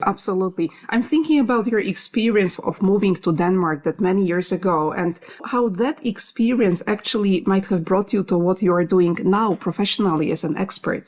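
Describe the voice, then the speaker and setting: A woman's voice: mid-range voice, fairly quick, few pauses, darkish tone. A woman hosting a conversational podcast, listening attentively and affirming her guest with short replies. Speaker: podcast host.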